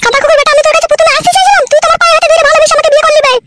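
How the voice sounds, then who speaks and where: A woman's voice: very high voice, fairly even intonation, rapid-fire. A woman voicing a furious young wife in a cartoon, nagging and cursing at her husband. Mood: scolding. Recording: loud hissy noise behind.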